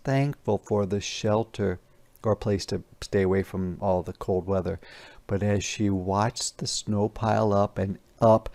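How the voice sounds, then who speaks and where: A man teacher reading a children's storybook aloud, expressive and pausing to explain words to young listeners. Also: lowish voice, vocal fry.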